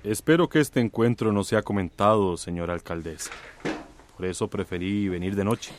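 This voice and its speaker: An actor in a radio drama playing a discreet congressman, formal and measured, with an insinuating way of talking. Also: on the low side, background noise, natural voice. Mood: guarded. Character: male congressman, discreet, smooth, veiledly threatening political schemer. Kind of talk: Spanish-language radio drama acting.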